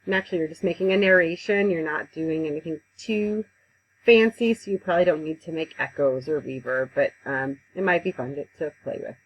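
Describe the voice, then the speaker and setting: A woman giving a casual, conversational software tutorial over a headset mic, with frequent ums. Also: sits low, fairly quick, husky, dull audio. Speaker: instructor. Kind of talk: screen-recorded software tutorial.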